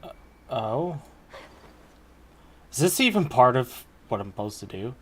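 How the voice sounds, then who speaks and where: A man's voice: mid-range voice, big pitch swings, unhurried, fairly bright tone. A man giving casual gameplay commentary, playfully muttering and humming to himself. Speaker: gaming streamer.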